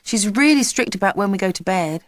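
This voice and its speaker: A woman's voice: mid-range voice, expressive, brisk, dry recording, clean studio sound, lightly acted. A woman voicing an earnest, rather gloomy young schoolgirl in a children's audiobook, with a grumbling manner. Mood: gossipy, gloomy.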